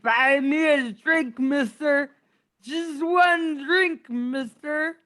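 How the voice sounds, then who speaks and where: A broken old drunk from a radio play, voiced by a woman. The voice is high and extremely slow, pleading and begging. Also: a bit bright, exaggerated voice. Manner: begging, drawn-out. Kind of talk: community theatre radio play acting. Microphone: video call.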